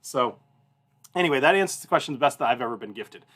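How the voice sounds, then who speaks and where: A man's voice: mid-range voice, animated, quick pace, a bit bright. Casual, rambling man in his thirties. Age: 30s. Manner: informal, rambling, self-interrupting. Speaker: whiskey review show host. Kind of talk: casual chat and anecdotes to a livestream audience.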